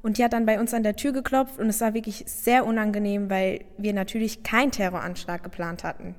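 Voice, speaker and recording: A woman from Afghanistan, a mother, answering interview questions sincerely and in a measured way. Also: medium pitch, fairly even intonation, big-room sound.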